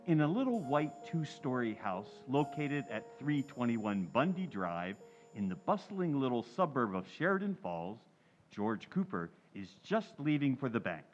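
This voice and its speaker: Animated old-time radio announcer man. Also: mid-range voice, unhurried, highs cut off, faint music behind.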